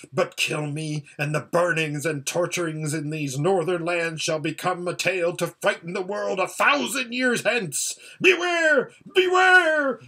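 A man's voice: sits high, bright, forceful tone, husky, voice pushed up, full character voice. A man voicing an arrogant young prince who shrieks bombastic curses and threats.

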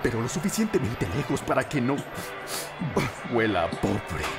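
A man's voice: medium pitch, very animated, moderate pace. A man playing a flippant, pompous demon king father in a Latin American Spanish cartoon fan dub, theatrical and breezily dismissive. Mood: mocking, callous. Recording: music behind the voice.

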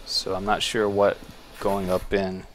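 A man with a very low voice talks through a video game in an easygoing, musing way, often pausing to restart a thought. A faint hiss sits behind his home desk microphone. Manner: relaxed, musing, thinking aloud with small restarts. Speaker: gameplay video host. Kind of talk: casual gameplay commentary.

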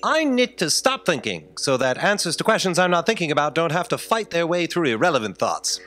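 A man's voice: fairly high pitch, big pitch swings, brisk, fairly bright tone. An actor playing a brooding, eccentric male space detective, terse and emphatic, in a staged audio drama. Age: middle-aged. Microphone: stand microphone on stage.

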